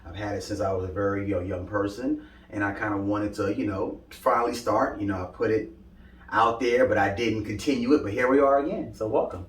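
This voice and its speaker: Upbeat Brooklyn man, low voice, roomy. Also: steady tone, brisk. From Brooklyn, New York. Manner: upbeat, talks in loose run-ons with many "you know" fillers. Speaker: brand founder, interviewee. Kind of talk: casual interview answers. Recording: echoey room, mic at a distance.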